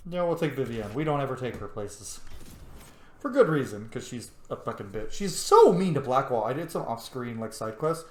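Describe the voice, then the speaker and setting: A man's voice: medium pitch, animated, moderate pace. A man giving casual, rambling, profane commentary as he streams a video game. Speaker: gameplay streamer. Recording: roomy echo, some background noise.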